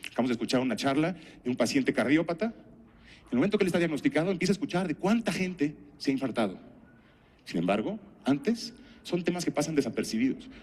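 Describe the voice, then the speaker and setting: A middle-aged man with a Mexican accent giving a conference talk, telling anecdotes and asking rhetorical questions in a didactic, deliberate way. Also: on the high side, sing-song, a little mellow. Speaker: conference speaker and health professional.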